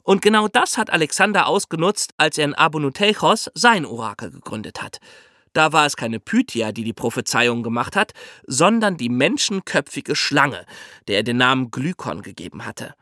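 A man with a higher-pitched, bright voice explains history as a podcast host. His delivery is animated and conversational, told like a story, in a dry, close recording. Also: moderate pace, no echo.

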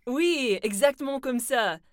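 A woman's voice: high-pitched, unhurried, no echo, clean studio sound. A woman voice actor from Canada, chatting casually and easily on a remote call, often filling with "like". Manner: relaxed, chatty, uses "like" often. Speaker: voice actor guest. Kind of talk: casual video-call chat.

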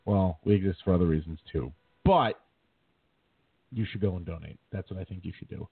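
A man's voice: low voice, a little fast, breathy edge, dry recording. A man hosting a podcast, joking and self-mocking, his throat slightly sore. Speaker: podcast host. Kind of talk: casual podcast chat. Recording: dry, tinny phone-like sound, a bit distant.

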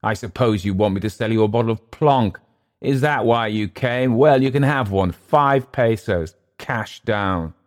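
A man's voice: on the low side, measured pace, pitched above the performer's own voice, close to an everyday voice. A man voicing a bitter, volatile, drink-sodden Englishman in a literary story. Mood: surly. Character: bitter, drunken, volatile Englishman gone to seed.

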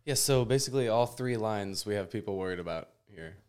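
Smooth, lowish-voiced man hosting a podcast. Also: a little fast, a little mellow. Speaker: soccer podcast host. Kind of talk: casual unscripted discussion. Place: in-person group podcast setup. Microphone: podcast microphone.

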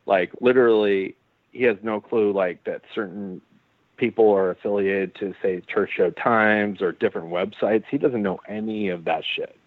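Cohost guy ribbing his buddies, cussing a lot, low voice, sounds like a phone call. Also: moderate pace, no room sound. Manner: teasing, profane, fond of "like". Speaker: podcast co-host. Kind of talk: casual chat. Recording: dry, phone-like line.

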